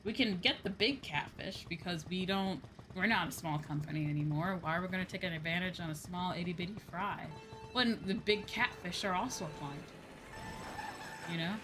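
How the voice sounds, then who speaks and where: Low-pitched woman streamer, game music behind. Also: moderate pace, poor audio. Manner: informal, repeats phrases, musing aloud to viewers. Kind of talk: casual chat while livestreaming a video game.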